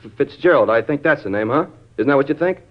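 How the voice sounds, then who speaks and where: A man's voice: mid-range voice, lively intonation, fast talker, close to an everyday voice. A man acting a defiant, cagey ex-convict suspect in a 1950s radio crime drama, arguing back in blustering street slang. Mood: indignant, cocky. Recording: dull, lo-fi vintage radio recording.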